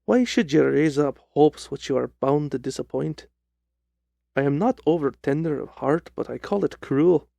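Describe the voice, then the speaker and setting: A man's voice: fairly high pitch, lively intonation, normal speed, vocal fry, mellow, no room sound. A man voicing a dignified, reproachful police inspector in an audiobook story.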